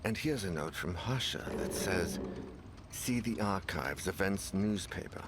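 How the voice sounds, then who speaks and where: A deep-voiced, pensive male investigator in a video game. He muses in a measured way as he works through clues and documents. Clear background noise sits behind his voice. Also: expressive. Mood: musing, curious. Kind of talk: scripted game dialogue, thinking aloud and reading documents.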